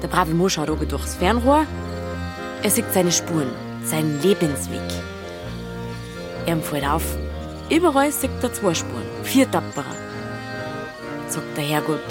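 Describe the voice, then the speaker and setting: An actress playing a plucky, affectionate, teasing young female hiker with a Bavarian accent, in a naturalistic dialect radio drama. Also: deep voice.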